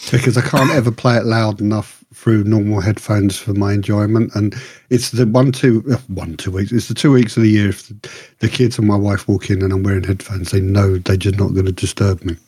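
A man's voice: on the low side, fairly even intonation, brisk, hardly any pauses, dark tone, slightly rough. A man chatting casually as a co-host on a podcast.

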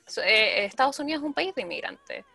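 A woman's voice: a little high, lively intonation, a little fast, creaky, sharp tone, slightly rough. A woman with a Chilean Spanish accent, speaking casually as a panelist in a debate. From United States and Chile. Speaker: discussion panelist.

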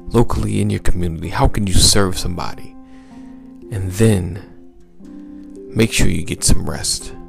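A man with a very low voice that is heavy with gravel and fry talks as a mindset coach on his podcast. His delivery is smooth and encouraging, built on repeated exhortations. A faint bed of music plays underneath. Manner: upbeat, repetitive coaching exhortations. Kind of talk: motivational podcast talk.

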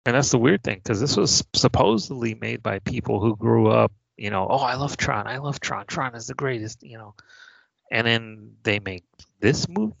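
A man's voice: mid-range voice, lively intonation, moderate pace, creaky, dry recording. A man co-hosting a casual podcast, blunt and sarcastic, swearing offhand. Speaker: podcast co-host. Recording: dry, dull lo-fi mic.

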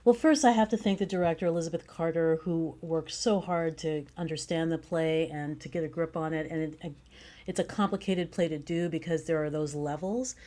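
Female interview guest, low register, conversational, effusive and emphatic in praising collaborators. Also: fairly even intonation, moderate pace, warm tone. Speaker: guest, playwright and director.

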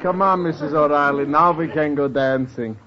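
Man doing joking broke professor, old radio show, dull noisy sound, a bit high. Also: normal speed, a faint crowd, natural voice. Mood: dryly teasing. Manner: dry, teasing, joking. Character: penniless, wisecracking old professor neighbor. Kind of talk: 1940s-50s radio comedy acting. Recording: muffled old radio recording, faint hiss.

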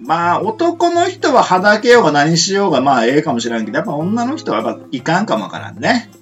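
A man chatting casually as a radio host, telling things in an animated way and acting out reactions. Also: on the high side, fast. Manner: animated storyteller, acts out imagined reactions. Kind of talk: casual chat. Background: background guitar music.

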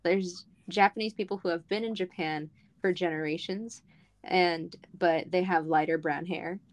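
A woman's voice: fairly low pitch, fairly even intonation, moderate pace. A young woman and co-host talking earnestly and at length in a casual chat, with frequent "um" and "like". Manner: earnest, talkative, many fillers.

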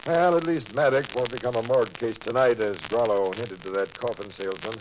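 An actor playing a gruff, skeptical homicide captain in a brusque, commanding 1940s radio crime drama style. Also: male voice, medium pitch, brisk, natural voice. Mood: wary. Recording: crackly old-time radio recording.

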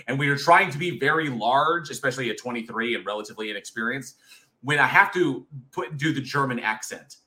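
A man's voice: medium pitch, expressive, a little fast, sharp tone, rough recording. A man in his thirties co-hosting a casual live chat show, candid and swearing freely, often restarting his sentences. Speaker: co-host of horror review show.

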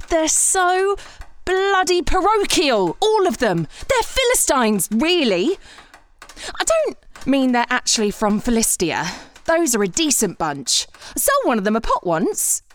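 Sceptical, animated, high-voiced woman. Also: takes it slowly, exaggerated voice. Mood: sardonic. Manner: musing, hesitant then blurting, sardonic. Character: sceptical, outspoken woman aboard Noah's Ark, questioning everything. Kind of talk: comic audio drama acting.

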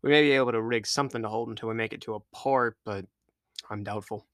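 A man's voice: lowish voice, quick pace, fairly bright tone, dry recording, studio quality, natural voice. A man voicing a blunt, gloomy adult pirate crewman who mutters his doubts. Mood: doubtful.

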